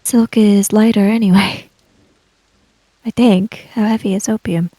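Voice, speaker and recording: A woman's voice: mid-range voice, fairly even intonation, measured pace, mellow, dry recording, poor audio. A woman roleplaying in a tabletop game, speaking hesitantly and narrating what her character does. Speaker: player in a tabletop roleplaying podcast.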